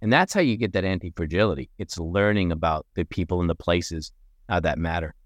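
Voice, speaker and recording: A man's voice: low-pitched, brisk, no room sound. A man from Morocco speaking as a podcast guest, making an earnest, persuasive case. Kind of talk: podcast conversation.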